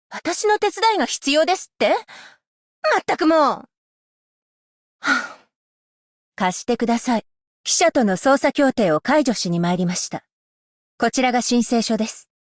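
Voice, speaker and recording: A stern, dutiful scholar-magistrate woman with a fairly high voice, formal and measured, polite yet strict. She speaks into a close studio mic, bone dry with no room at all. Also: big pitch swings, no room sound. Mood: composed. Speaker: voice actress. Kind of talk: game character voice lines.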